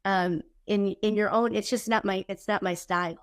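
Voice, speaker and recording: A woman guest, her voice a little husky from a cold, chatting casually and candidly with a quick, joking manner. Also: medium pitch, steady tone, no echo, clean studio sound. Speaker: podcast guest, keynote speaker. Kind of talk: casual chat.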